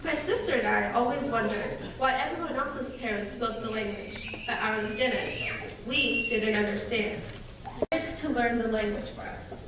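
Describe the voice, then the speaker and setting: A young woman from Russia delivers a prepared speech to an audience in an earnest, measured way. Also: fairly high pitch. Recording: echoey hall from far off, noisy.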